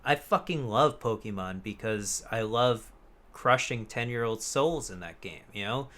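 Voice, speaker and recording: A young man with a bright, forward voice talks as a podcast co-host. His delivery is informal and rambling, peppered with swearing, in the manner of a casual gaming chat. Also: medium pitch, expressive, a little slow. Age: young adult. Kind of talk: casual chat about video games.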